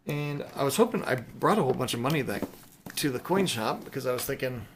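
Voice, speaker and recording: A man with a medium-pitched voice talks informally, as if thinking aloud. He rambles casually through an unscripted hobby video, with some noise behind him. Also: expressive, quick pace. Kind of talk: casual unscripted chat to viewers while showing items.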